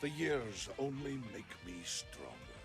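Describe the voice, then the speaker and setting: A man voicing a flamboyant, ageless vampire gentleman in a video game, grandiose, self-assured and unhurried. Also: mid-range voice, wildly swooping pitch, gravelly, heavily acted. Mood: theatrical, proud. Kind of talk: video game character acting. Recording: studio voice under loud guitar music.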